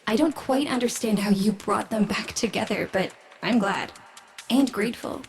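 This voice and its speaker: A woman's voice: medium pitch, normal speed, vocal fry, a little hiss or hum, lightly acted. A woman playing a candid, wry daughter in a video game, speaking in a plain way. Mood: wry, grateful. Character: candid, wry grown daughter of a quarrelling family. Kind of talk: video game character acting.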